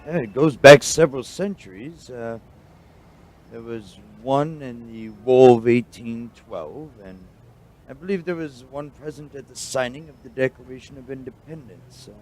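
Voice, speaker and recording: A man playing an obliging, pedantic history professor in a radio drama, halting and pondering as he speaks. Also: mid-range voice, a little mellow, a little hiss or hum, lightly acted. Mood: pondering, helpful.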